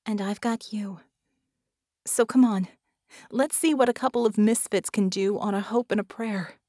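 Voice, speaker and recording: A woman's voice: medium pitch, normal speed, dark tone, dry recording, natural voice. Brief: narrator playing battle-hurt heroine, female, sure of herself, firm and urgent, raised above narration, dry audiobook sound. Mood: determined. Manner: urgent, firm, through clenched teeth. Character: tough, self-assured injured female fighter.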